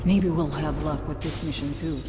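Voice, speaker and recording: An actress playing a tough, sardonic young sniper in a game, curt and commanding. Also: female voice, low-pitched, fairly even intonation, normal speed, natural voice. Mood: focused. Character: tough sardonic young female sniper in post-apocalyptic Moscow. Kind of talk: video game voice acting. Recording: noisy game battle ambience.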